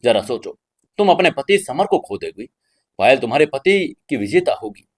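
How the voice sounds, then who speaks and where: A man's voice: mid-range voice, very animated, moderate pace. A man voicing a middle-aged mother in an audio drama, coaxing and admonishing with worldly-wise insistence. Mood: grave, admonishing.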